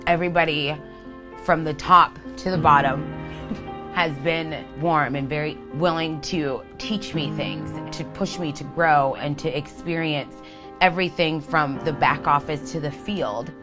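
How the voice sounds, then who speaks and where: A woman's voice: deep voice, unhurried. A woman telling her own story as an employee testimonial, candid and conversational. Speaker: construction company employee giving a testimonial. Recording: music bed under the voice. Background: music.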